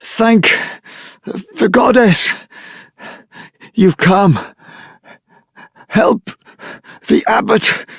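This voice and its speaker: An actor playing a gravely wounded man of the abbey in a video game, husky, weak and gasping as he pleads. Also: high-pitched, extremely slow, long pauses, a bit bright, no room sound, clean studio sound, full character voice. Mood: weak, pleading. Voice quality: husky, breathy. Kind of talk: video game character acting.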